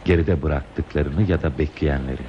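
An actor playing a lonely, talkative man who is dreamily devoted to trains, musing poetically in a radio play. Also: extremely low pitch. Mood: musing, wistful. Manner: musing, poetic, slow pauses in monologue. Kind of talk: radio theatre acting. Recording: dull, lo-fi radio play tape, hissy.

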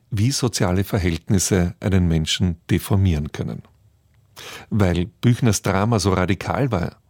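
A male radio presenter reads a scripted introduction in a measured, factual way. His voice is low and husky, recorded very dry. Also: darkish tone, no echo.

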